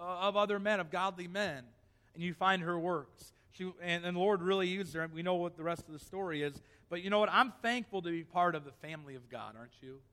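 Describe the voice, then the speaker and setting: A man's voice: high voice, sharp tone, big-room sound. A male pastor preaching a sermon in a church, reading scripture aloud and then pressing his point with rising emphasis.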